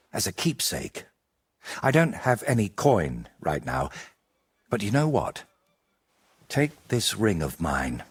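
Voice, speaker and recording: A preoccupied medieval painter for a video game. He is courteous yet fussy and secretive, choosing his words earnestly. The voice is fairly low and husky, with lively rises and falls. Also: exaggerated voice. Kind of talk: dramatic game dialogue acting.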